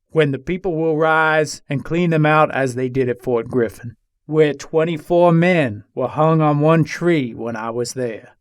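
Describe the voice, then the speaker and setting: A man performs an embattled Old West gunslinger in a dramatic reading. The voice sits a little high for a man and is recorded dry and close. He tells his side from jail in deliberate, formal, old-fashioned phrasing. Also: no room sound, natural voice. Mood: grim, resigned.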